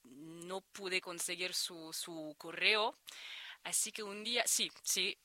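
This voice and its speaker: A woman's voice: lowish voice, slow, pushed and bright, dry recording. A woman in her thirties from France, speaking Spanish with a French accent as an interview guest, hesitant with frequent restarts. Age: 30s. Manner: hesitant, many restarts and repeated words. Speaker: interviewed researcher. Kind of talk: conversational interview.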